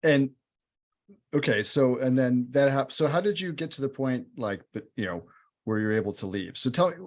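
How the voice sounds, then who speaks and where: Conversational male podcast host. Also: on the low side. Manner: probing, conversational, some restarts. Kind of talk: casual interview questions.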